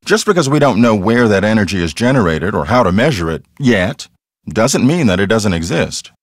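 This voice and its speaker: Video game ghost hunter: cocky, theatrical, earnest, lively pitch swings, dry close sound. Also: male voice, moderate pace, no echo. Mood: earnest, intense. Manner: theatrical, self-assured explaining.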